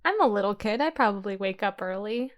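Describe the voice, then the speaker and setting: A woman's voice: medium pitch, lively intonation, brisk. Female player, casual and chatty, mid-range voice. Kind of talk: casual chat while playing a tabletop game.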